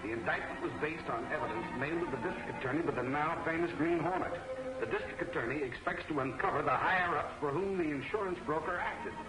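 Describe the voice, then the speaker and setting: An actor playing a cold, matter-of-fact crooked lawyer with an American accent, in 1940s film serial style. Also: male voice, a little high, fast, natural voice. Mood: cool, sinister. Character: smooth, cold male crooked lawyer henchman. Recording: echoey vintage soundtrack, music underneath.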